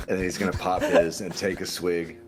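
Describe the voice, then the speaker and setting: A man's voice: low voice, level tone, a little fast, music in the background. A man playing a tabletop game, casually narrating what his character does.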